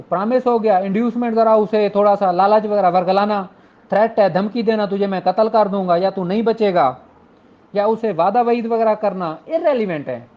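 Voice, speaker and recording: The audio is muffled and low in fidelity. A law lecturer with a Pakistani accent speaks at a middling pitch, explaining things step by step in an informal classroom style. English legal terms are mixed into the explanations and examples. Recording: dull, lo-fi audio.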